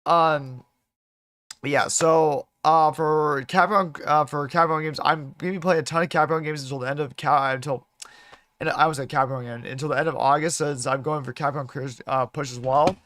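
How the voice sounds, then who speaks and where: A male game streamer talks casually to his chat in a somewhat high, slightly hoarse voice. He speaks haltingly, often doubling back to restart a phrase. The sound is dry, with very little room in it. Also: fairly bright tone, dry recording. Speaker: livestream host, gamer.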